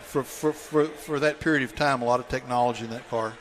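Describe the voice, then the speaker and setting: A man's voice: medium pitch, steady tone, fairly quick, slight background noise. A man chatting casually as a guest on a car show. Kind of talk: casual chat.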